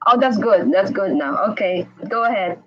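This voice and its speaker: A woman chatting casually and excitedly as the host of a live online interview, over a video call. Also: medium pitch, moderate pace, muffled recording. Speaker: host interviewing a guest on a livestream.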